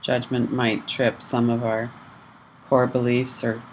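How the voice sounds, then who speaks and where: A person leading a guided mindfulness meditation in measured short phrases with pauses between them. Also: medium pitch, little pitch movement, slight background noise, poor audio. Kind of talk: guided mindfulness meditation, slow gentle instruction.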